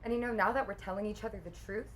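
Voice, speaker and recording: A woman's voice: mid-range voice, steady tone, very fast, a bit bright, natural voice. A woman playing a wounded, insistent long-term girlfriend in a naturalistic film drama, pressing and emotional in her questioning. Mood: accusing.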